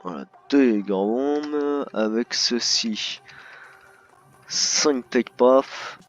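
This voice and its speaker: A man's voice: mid-range voice, very slow. A man improvising as a courteous bartender in a roleplay game, speaking politely and formally to customers. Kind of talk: improvised in-character customer service chat. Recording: dull lo-fi voice chat, music behind. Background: music.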